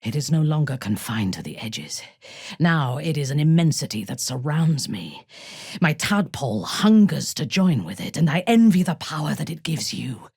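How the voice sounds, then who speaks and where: A woman playing a ruthless, bloodthirsty warrior commander in a video game, with a husky, breathy voice and haughty, formal, biting delivery. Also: low-pitched, sing-song, darkish tone, no room sound, clean studio sound, heavily acted. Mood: cold disdain. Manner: haughty, measured, formal diction with biting disdain. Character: ruthless, imperious female warrior and cult commander, bloodthirsty, darkly amused. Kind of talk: video game character dialogue.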